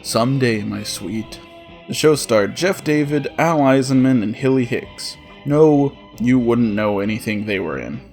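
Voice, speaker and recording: A man hosts a comedic cartoon review in a sarcastic, sweary way. His voice sits at an ordinary middle pitch, and faint music plays along with his scripted narration. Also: lively intonation, a little slow, creaky, darkish tone. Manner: sarcastic, profane. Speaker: host of a comedic review show.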